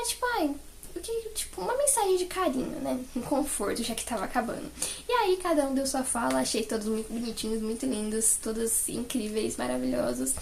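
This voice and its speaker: A young woman with a Brazilian Portuguese accent chatting casually as a fan, in a gushing, animated way. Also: high-pitched, vocal fry, a little hiss or hum. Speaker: fan vlogger. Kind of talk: casual chat recounting favourite moments.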